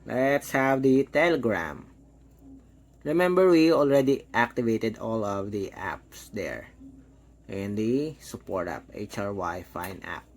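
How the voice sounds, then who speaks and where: A man giving a casual, hands-on product demo, talking step by step in short, halting phrases. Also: on the low side, a little mellow. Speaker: product reviewer.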